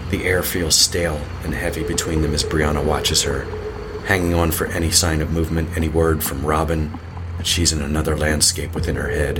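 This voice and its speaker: A man's voice: very deep voice, background noise. A man narrating a scripted horror audio drama as a solemn, ominous storyteller. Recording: music behind the voice.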